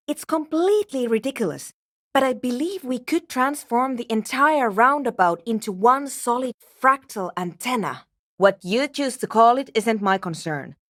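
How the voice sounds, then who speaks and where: A woman's voice: on the high side, no echo, lightly acted. A woman playing a frank, pragmatic female engineer in a video game, speaking in a dry, matter-of-fact and precise way. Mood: brisk, businesslike. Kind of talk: scripted video game character dialogue.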